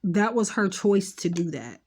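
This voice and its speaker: A woman's voice: medium pitch, sing-song, measured pace, a little mellow, husky. A woman with a stuffed-up nose giving a casual, rambling tarot card reading, talking directly and reassuringly to her viewers with lots of fillers. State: stuffed up, sniffling.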